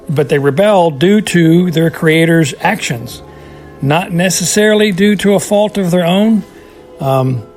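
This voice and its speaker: Rambling man with lots of ums. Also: on the high side. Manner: rambling, many fillers and restarts. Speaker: podcast contributor. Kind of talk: casual recorded commentary.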